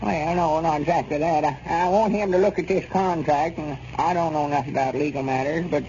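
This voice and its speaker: An actor playing a worried old grandpappy with a rural Southern US accent in a 1930s radio comedy. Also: male voice, fairly high pitch, fairly even intonation, brisk, a little mellow, close to an everyday voice. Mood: worried, plaintive. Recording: muffled, noisy 1930s radio recording.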